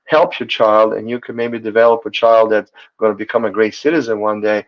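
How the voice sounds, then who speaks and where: A man's voice: lowish voice, fairly even intonation, fairly quick. Earnest rambling man, muffled audio. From Serbia. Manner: rambling, many fillers, earnest. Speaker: chiropractor guest. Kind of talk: casual talk show chat.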